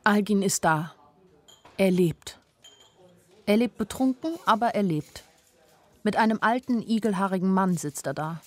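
A woman's voice: mid-range voice, unhurried, pauses often, no echo, natural voice. An actress playing a weary, lovesick young woman who narrates a radio play in an introspective, lyrical way. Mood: weary wonder. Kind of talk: literary first-person radio play narration. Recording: studio radio play with faint music.

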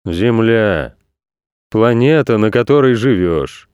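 A man voicing the planet Earth as a calm, stern, measured being in a children's story. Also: on the low side, clear, resonant tone, no room sound, studio quality.

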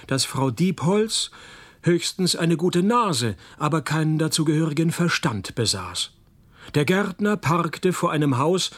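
Husky, deadpan private detective. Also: male voice, on the high side. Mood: dry narration. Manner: dry, deadpan. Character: wry, cynical male private detective, laconic and morbid. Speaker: detective and first-person narrator. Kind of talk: radio play narration and dialogue.

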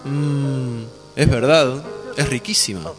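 A man's voice: medium pitch, steady tone, slow, lightly acted. A man playing an easygoing breakfast companion with an Argentine accent in a conversational radio drama. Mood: easygoing, appreciative. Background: music.

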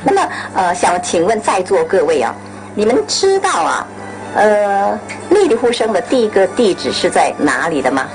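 A woman's voice: medium pitch, very animated, moderate pace, poor audio. A woman speaking as the host of a radio show. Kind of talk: radio farewell show hosting. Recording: music behind the voice. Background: background music.

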